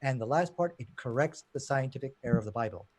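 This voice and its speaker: A man's voice: mid-range voice, lively intonation, fast talker, a little mellow, a little hiss or hum. A man from Pakistan speaking as a debater, arguing in an emphatic, challenging way. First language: not Arabic; Arabic learned as second language. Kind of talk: impassioned debate argument.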